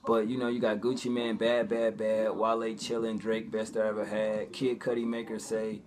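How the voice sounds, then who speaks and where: A man's voice: fairly low pitch, little pitch movement, moderate pace, darkish tone. A young man chatting casually in a vlog, with informal, slangy speech and frequent swearing. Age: young adult. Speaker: vlogger.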